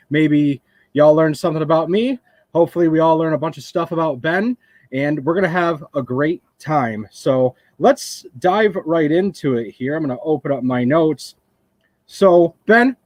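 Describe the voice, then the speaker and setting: A man's voice: a little high, no room sound. A man in his twenties hosting a live online fishing interview from a home studio, effusive and complimentary toward his guest. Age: 20s. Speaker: host of a fishing channel.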